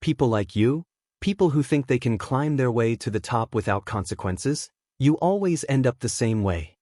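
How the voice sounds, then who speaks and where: A man voicing a smooth, knowing, menacing high-society schemer in an audiobook, speaking in a measured way. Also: expressive, a little mellow, no room sound, lightly acted. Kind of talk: audiobook character dialogue.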